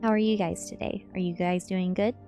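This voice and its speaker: A woman's voice: lowish voice, fairly even intonation, a little fast, mellow. A sleepy woman streamer doing an ASMR book reading and chatting casually with viewers. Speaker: streamer reading books aloud. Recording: music playing behind the voice. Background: music.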